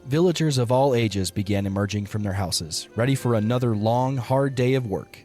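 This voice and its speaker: A man's voice: medium pitch, expressive, normal speed, darkish tone. A man narrating a dramatic story as a scripted audio drama narrator. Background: music behind the voice.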